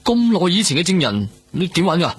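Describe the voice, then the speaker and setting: A man's voice: fairly high pitch, very animated, fast, slightly rough, exaggerated voice. A man acting a cautious, exasperated police superior in a radio drama, grumbling in a Hong Kong Cantonese accent. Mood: wary, exasperated. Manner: grumbling, admonishing. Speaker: actor.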